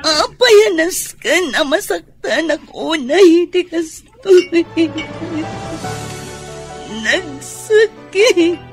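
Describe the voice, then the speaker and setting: Very high-pitched little child in a radio drama. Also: female voice, animated, extremely slow, soft background music, full character voice. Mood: upset, pleading. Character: little child calling her daddy, eager, upset. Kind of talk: Ilocano radio drama acting.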